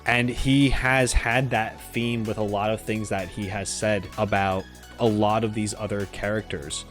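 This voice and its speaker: A man hosting a gaming reaction video, giving casual, informal and expressive commentary. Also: on the low side, a little slow. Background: music under the voice.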